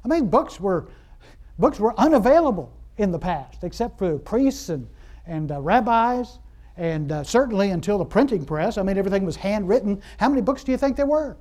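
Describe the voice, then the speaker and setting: A man preaching a sermon in a loose, conversational way, with many restarts and fillers and bursts of excitement. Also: high voice, fairly quick. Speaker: pastor.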